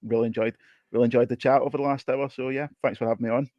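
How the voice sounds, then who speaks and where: A man's voice: medium pitch, fairly even intonation, very fast, darkish tone, dry recording. A Scottish man guesting on a podcast over a video call, with dry, self-mocking humor. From Scotland. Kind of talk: casual podcast chat. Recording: dull, dry call audio.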